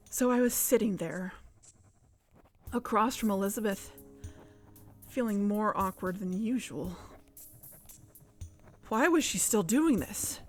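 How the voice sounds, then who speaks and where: Audio drama: actress as determined female investigator, mid-pitched, earnest, music underneath. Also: natural voice. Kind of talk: fiction podcast drama, confessional narration and dialogue.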